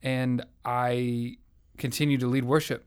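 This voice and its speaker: A man's voice: medium pitch, flat delivery, measured pace, smooth. A young man from the South, earnestly and reflectively telling his faith story in an interview. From American South. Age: young adult. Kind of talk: personal testimony in conversation.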